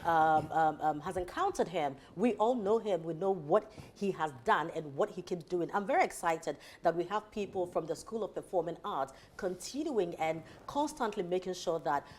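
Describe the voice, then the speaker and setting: A Ghanaian woman hosting a talk show, chatting in an animated, emphatic, conversational way. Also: fairly low pitch, moderate pace, few pauses, slight background noise. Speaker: morning show host. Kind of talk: live talk show interview, chatty introduction.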